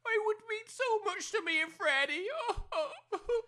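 A woman's voice: extremely high pitch, expressive, moderate pace, bright, forceful tone, heavily acted. A woman playing an anxious, pleading working-class village mother in an amateur comedy audio drama, flustered and apologetic. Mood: tearful pleading. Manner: flustered, apologetic, non-standard grammar.

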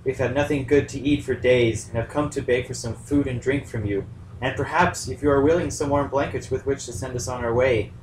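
A man playing a brave, diplomatic rabbit sea captain with a measured, courteous way of talking, in an amateur puppet story. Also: mid-range voice, steady tone, lightly acted. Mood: courteous pleading. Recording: echoey room, far mic, faint noise.

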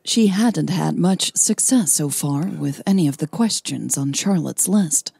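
A woman's voice: low voice, animated, a little slow, vocal fry, dark tone, breathy edge, no echo. A woman hosting a podcast and narrating a romance story, sounding a little tired. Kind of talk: scripted romance narration and casual podcast outro.

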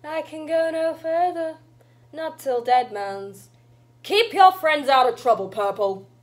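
An old witch granny, acted out by a woman, cryptic and stern. She speaks slowly in foreboding, archaic phrases with a rather high, swooping voice, over a noisy hum. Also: animated. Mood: solemn warning.

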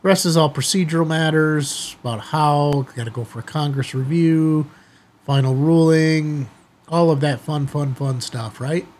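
A middle-aged American man with a slightly husky voice, talking informally and directly to viewers as a video host. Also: on the high side, a little slow, darkish tone. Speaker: amateur radio video host. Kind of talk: casual chatty commentary to viewers. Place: small indoor studio office, seated at desk.

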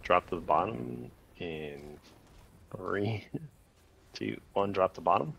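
A man leading a raid group over voice chat, calling short commands in rapid repeated bursts with countdowns. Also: sits low, expressive, vocal fry, a bit bright, a little hiss or hum.

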